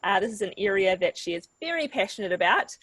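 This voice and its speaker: A woman's voice: mid-range voice, expressive, fast talker, fairly bright tone, a little hiss or hum, poor audio. A woman hosting an online workshop, friendly and encouraging as she guides participants. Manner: friendly and encouraging, repeats "fantastic". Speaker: webinar host.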